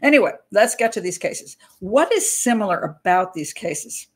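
An older woman, a criminal profiler, hosting a casual livestream from a small room at home, talking into a webcam. She rambles, interrupts herself and laughs easily, and her voice is a little hoarse. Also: medium pitch, very animated. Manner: chatty, rambling, self-interrupting, quick to laugh. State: hoarse, clearing throat.

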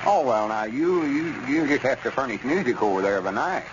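An actor playing a scheming, self-important older storekeeper with a folksy, grumbling rural Arkansas drawl in an old radio comedy. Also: male voice, medium pitch, expressive, brisk, lightly acted. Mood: coaxing. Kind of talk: 1930s-40s radio comedy acting. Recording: muffled, noisy old radio recording.

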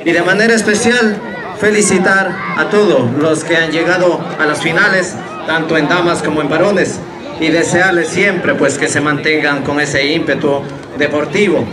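A man congratulates listeners in a public speech. His fairly high voice echoes on a handheld mic, with a clear crowd behind. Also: moderate pace. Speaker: town councillor. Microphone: handheld microphone.

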